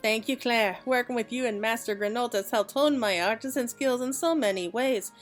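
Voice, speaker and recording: A woman's voice: fairly high pitch, moderate pace, faint music behind, close to an everyday voice. A woman voicing an earnest, polite young apprentice artisan from a game, in formal and courteous phrasing. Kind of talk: video game dialogue read aloud.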